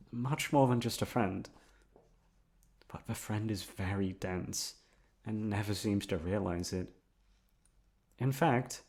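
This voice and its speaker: Man acting a nervous, lovesick obsessive best friend, low-voiced. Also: a little mellow. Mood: nervous, coy. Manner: hesitant, rambling, nervous chuckles, talking to listener. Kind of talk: intimate ASMR roleplay monologue.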